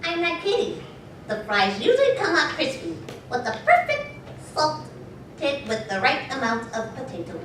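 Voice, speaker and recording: A woman acts out a boastful, scrappy child in a live comedy read. The voice is high and very animated, heard from afar in an echoey, noisy room. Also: moderate pace, full character voice. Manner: boastful, taunting. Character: bratty, combative eight-year-old superhero kid. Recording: echoey room, distant mic, clear noise.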